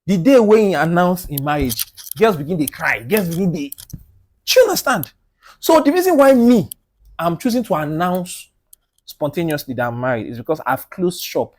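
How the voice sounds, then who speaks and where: A man speaks on a studio podcast, lively and insistent. He swings from raised, emphatic delivery down to a low, hushed voice when driving a point home. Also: moderate pace. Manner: animated and emphatic, repeats "do you understand?"; drops to a low, quiet voice when making a point. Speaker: podcast co-host. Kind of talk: casual group discussion.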